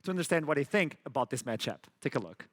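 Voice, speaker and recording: A man's voice: fairly high pitch, sing-song, quick pace. A man hosting an esports analysis desk, who leads the talk by putting questions to his panel. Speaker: host.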